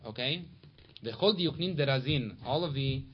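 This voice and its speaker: A man teaching a casual Torah class to a small study group, chatty and quick, reading Hebrew and Aramaic passages aloud. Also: medium pitch, breathy edge. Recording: dull lo-fi recording with steady background noise.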